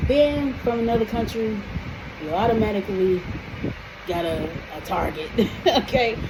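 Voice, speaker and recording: An American woman in a casual to-camera vlog, talking in a chatty, emphatic way and checking in with the viewer often. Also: mid-range voice. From United States. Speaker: vlogger. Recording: noisy room with air conditioning hum.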